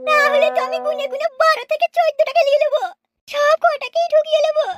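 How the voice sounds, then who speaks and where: A woman's voice: very high voice, level tone, quick pace. A woman voicing a brash, vain and quarrelsome village woman in a cartoon, insistent and boastful. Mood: boastful, indignant. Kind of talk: cartoon dialogue. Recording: noisy track with clicks.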